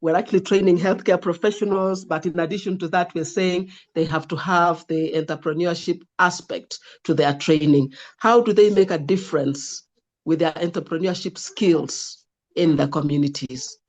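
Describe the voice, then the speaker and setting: A woman's voice: fairly low pitch, moderate pace, a little mellow. A woman moderating an online class, speaking in a directive, instructive way as a lecturer. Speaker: lecturer moderating student presentations.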